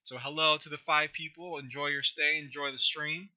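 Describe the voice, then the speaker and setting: Young male streamer, hoarse, very bright tone, casual rambling chat full of fillers. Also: on the high side, steady tone, moderate pace. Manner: rambling, many fillers and restarts. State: hoarse, scratchy throat. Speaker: YouTube creator hosting a livestream. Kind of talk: casual livestream chat answering viewers.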